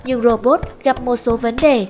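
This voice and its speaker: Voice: medium pitch, steady tone, normal speed. A narrator telling a children's cartoon story in scripted lines, with music behind. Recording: music under the voice.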